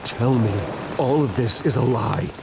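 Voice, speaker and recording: A man's voice: medium pitch, a little slow. An actor playing a brooding, wounded heir in a video game, terse and intense in his delivery. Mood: demanding. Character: brooding, wounded adult heir demanding the truth about his father. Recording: clear rain noise behind. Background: rain.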